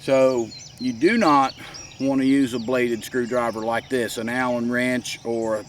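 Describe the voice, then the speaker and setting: A man with a head cold, hoarse and congested, giving a folksy step-by-step workshop demonstration. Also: medium pitch, fairly even intonation, unhurried, recorded from a distance, slight background noise. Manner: folksy, plain-spoken, explains step by step. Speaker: gunsmith demonstrating a product.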